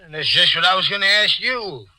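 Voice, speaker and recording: An actor playing a suspicious, streetwise building superintendent in a 1940s radio mystery, blunt and challenging. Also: male voice, high voice, lively intonation, fairly quick, bright, forceful tone, no room sound, full character voice, poor audio. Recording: vintage 1940s radio recording.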